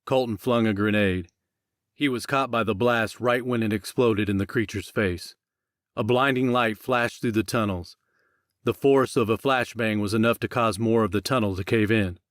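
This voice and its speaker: A male audiobook narrator reads scripted fiction in a fairly low voice. The recording is dry, with hardly any room sound. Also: normal speed, dry recording.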